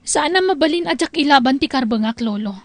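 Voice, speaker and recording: Woman doing hurt proud young wife, ilocano accent, really high voice, dry sound, family drama. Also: animated, a little fast, no room sound. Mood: aggrieved. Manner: aggrieved, protesting.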